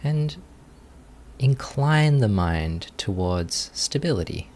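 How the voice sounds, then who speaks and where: A man leading a guided meditation as a teacher, giving gentle, unhurried instructions with long pauses between phrases. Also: fairly low pitch, sing-song.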